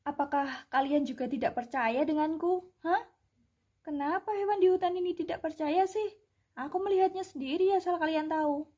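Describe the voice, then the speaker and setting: A high-pitched, soft-toned rabbit acted by a young woman from a puppet fable. It is an indignant, doubting little creature that questions insistently and plaintively. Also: moderate pace, natural voice. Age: young adult. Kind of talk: puppet-show fable dialogue.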